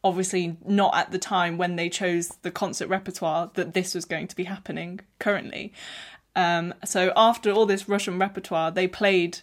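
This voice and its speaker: A young woman hosting a podcast interview, chatty and encouraging, reacting with warm enthusiasm. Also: lowish voice, fairly even intonation, normal speed. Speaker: podcast host.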